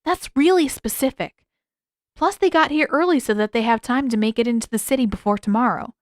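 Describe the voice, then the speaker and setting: An earnest young boy voiced by a woman narrator, high in pitch and full of curiosity. He talks eagerly and insistently, in storybook style, with no room sound. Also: fairly quick, no room sound, clean studio sound, natural voice.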